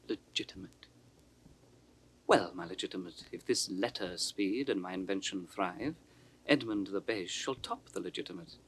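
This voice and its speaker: An actor playing a scheming, bitter young nobleman's bastard son in classical Shakespearean style, theatrical and deliberate, sometimes dropping to a conspiratorial whisper. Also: deep voice, fairly bright tone, a little hiss or hum, low-quality recording. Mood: sly, gloating, then defiant, brooding. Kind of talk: classical Shakespearean stage acting. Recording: 1960s studio audio drama recording.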